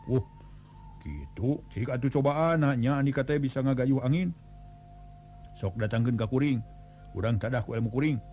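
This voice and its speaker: A man's voice: medium pitch, moderate pace, long pauses, warm tone, soft background music, close to an everyday voice. A man voicing a mighty strongman who speaks firmly and boasts of his strength.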